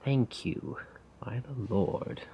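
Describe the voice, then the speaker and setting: A man playing a weary, self-doubting failed poet in a soft-spoken, rambling ASMR roleplay monologue. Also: medium pitch, muffled recording, slight background noise, lightly acted. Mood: irritated, weary. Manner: slow, brooding, rambling. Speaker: roleplay audio performer.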